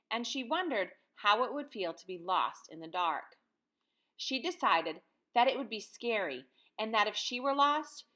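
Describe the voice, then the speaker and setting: American woman, thirties, teacher, children's read-aloud style, animated intonation, simple child-directed phrasing, medium pitch. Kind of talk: picture-book read-aloud and lesson for children.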